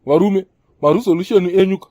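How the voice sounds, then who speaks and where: A man's voice: sits high, quick pace, dark tone, no room sound, muffled recording. A man voicing a preachy older Zimbabwean prophet in a comedy cartoon, holding forth to the younger men like an elder. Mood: preachy, chiding. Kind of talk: comedy cartoon dialogue in Shona mixed with English.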